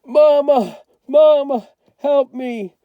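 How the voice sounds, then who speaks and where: A man's voice: extremely high pitch, extremely slow, no echo, narrow, tinny audio, pitched above the performer's own voice, full character voice. A man voicing a frightened, imaginative young boy in a storybook, with a dramatic, pleading delivery. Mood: panicked screaming. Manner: dramatic, pleading, talking to himself.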